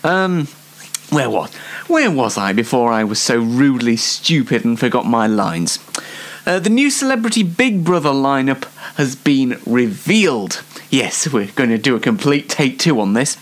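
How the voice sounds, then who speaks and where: Animated, self-mocking young male show host. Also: medium pitch, measured pace. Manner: self-mocking, rambling, playfully theatrical. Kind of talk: chatty comedic monologue.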